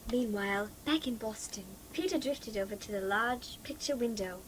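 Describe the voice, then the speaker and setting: A woman's voice: on the high side, normal speed, slight background noise, poor audio. A woman reading dramatic scripted narration for a homemade radio play. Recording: amateur home cassette recording with music behind.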